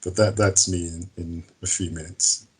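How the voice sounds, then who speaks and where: A man in his fifties, raised in the United Kingdom and Nigeria, introducing himself on a panel with relaxed, self-deprecating hesitations. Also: low voice, moderate pace, breathy edge, low-quality recording. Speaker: private client adviser.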